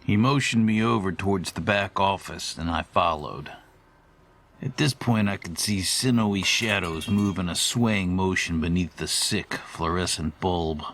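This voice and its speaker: A man playing a formal, wordy middle-aged storyteller, giving a measured, ornate monologue in an audio horror drama. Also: low-pitched, a bit bright. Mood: uneasy, brooding. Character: formal, verbose middle-aged man recalling haunting courier days.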